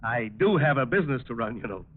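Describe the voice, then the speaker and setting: An actor playing an evasive, outwardly obliging war plant owner in a 1940s radio drama, polite and hedging. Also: male voice, medium pitch, very animated, fast talker. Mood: evasive, firm. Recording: tinny, noisy old radio recording.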